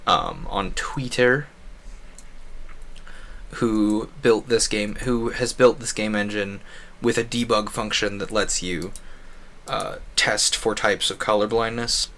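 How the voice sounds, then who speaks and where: A man's voice: lowish voice, fairly even intonation, slow pace, pushed and bright. A man giving casual, chatty, opinionated commentary as a game streamer, often wandering off on digressions.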